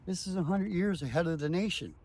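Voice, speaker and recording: A man's voice: high voice, quick pace, a little mellow. A man speaking as a tour guide, explaining things step by step in short, emphatic declarative phrases.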